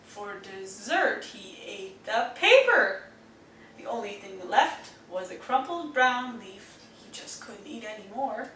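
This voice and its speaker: A woman reading a picture book aloud to young children in an expressive, deliberate storytelling manner. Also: medium pitch. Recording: roomy, distant mic, faint noise.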